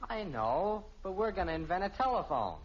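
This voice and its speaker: A young performer playing an exasperated, hurried American teenage son in a mid-century radio family sitcom. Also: medium pitch, big pitch swings, lightly acted. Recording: muffled old radio, clear noise.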